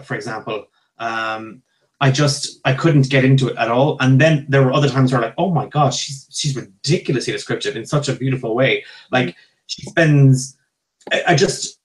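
A man, talking emphatically and haltingly in a casual book club chat on a video call. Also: mid-range voice. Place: small home room, indoors. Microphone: earphone headset on a video call.

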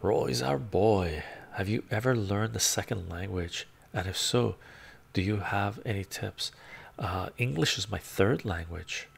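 A man's voice: lowish voice, unhurried, vocal fry, slightly rough. A middle-aged man whose first language is Romanian, chatting casually on a live stream from a room lined with bookshelves, with a rambling manner and frequent "right?" asides, speaking into a lapel mic. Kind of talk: casual live-stream chat, reading comments. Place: home room full of bookshelves.

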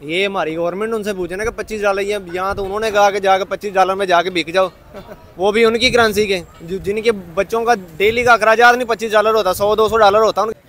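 A man's voice: high voice, steady tone, fast talker, a bit bright. Incredulous young man at a night market. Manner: incredulous, explaining. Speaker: interviewee. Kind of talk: street vox pop. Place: busy market street at night, outdoors. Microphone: handheld mic.

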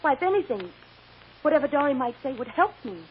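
Old radio drama: an accused woman, higher-pitched, emotional and devoted, on a dull, hissy recording. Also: big pitch swings, fast talker. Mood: excited, insistent.

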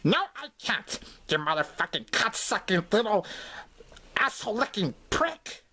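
A man's voice: high-pitched, big pitch swings, a little slow, bright, forceful tone, breathy edge, heavily acted. A man voicing a grouchy, foul-mouthed penguin toy in an amateur comic skit. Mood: crude, grumpy. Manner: crude, profane, cranky.

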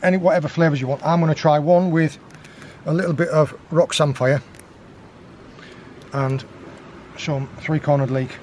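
Bloke, 30s, showing how it's done on a rocky beach, slightly high voice, little bit of background noise. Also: male voice, fairly even intonation, normal speed, pauses often, a little mellow. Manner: practical, explains step by step while working with his hands. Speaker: guest forager demonstrating cooking. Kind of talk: casual outdoor how-to explanation.

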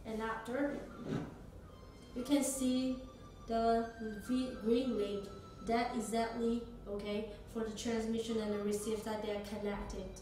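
A young woman demonstrates a product step by step, speaking in halting phrasing. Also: on the high side, flat delivery, over loud music. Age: young adult. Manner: halting non-native English phrasing. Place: small indoor office or meeting room. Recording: echoey room, distant mic, noisy background.

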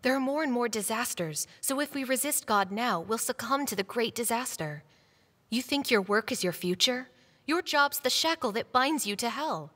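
A woman's voice: a little high, lively intonation, normal speed, fairly bright tone. A woman playing a devout, defiant middle-aged wife in a dubbed stage drama, earnest, preachy and challenging. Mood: urgent, preaching. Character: devout, defiant wife pursued for her faith. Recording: reverberant hall-like sound.

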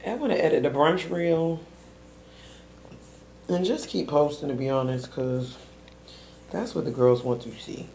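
Excited young woman vlogging in an echoey room. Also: low-pitched, a little slow, gravelly, pauses often, slight background noise. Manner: excited, gushing, addresses viewers as y'all. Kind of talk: casual vlog talk to camera. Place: living room at home.

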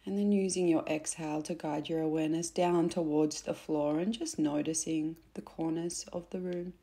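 A woman guiding a meditation in a soft, gentle, unhurried way, with phrase endings that turn breathy. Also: sits low, steady tone. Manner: gentle, unhurried, instructing softly with pauses. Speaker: meditation guide. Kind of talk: soothing guided meditation narration.

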